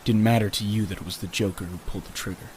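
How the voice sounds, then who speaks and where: A man's voice: fairly low pitch, fairly even intonation, very fast, a little mellow, slight background noise, natural voice. A man playing a bitter, earnest young former sidekick, delivering a reproachful dramatic monologue in a comic dub. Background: music.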